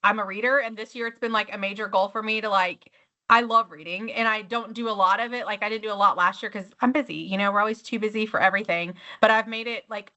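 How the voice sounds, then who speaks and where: A woman's voice: mid-range voice, sharp tone. A woman hosting an interview podcast, chatty and enthusiastic, with many fillers and restarts. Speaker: podcast host. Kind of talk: casual interview chat.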